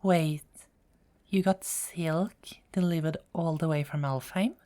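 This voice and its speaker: A woman's voice: deep voice, fairly even intonation, dark tone. A woman playing a teasing, self-assured and soothing Norse goddess in an ASMR roleplay audio drama. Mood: surprised. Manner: teasing, chuckling, unhurried.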